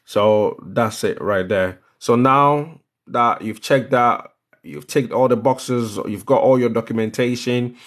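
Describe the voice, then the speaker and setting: A man's voice: mid-range voice, takes it slowly. A man with a Ghanaian accent hosting a live step-by-step tutorial, instructive and repeating phrases for emphasis. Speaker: livestream host explaining visa applications.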